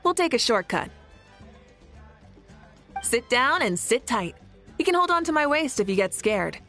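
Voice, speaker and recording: A woman's voice: fairly high pitch, big pitch swings, unhurried, fairly bright tone, soft background music, exaggerated voice. A woman playing a confident, easygoing young motorbike-riding leader in an anime-style video game, breezy and teasing. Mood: assured.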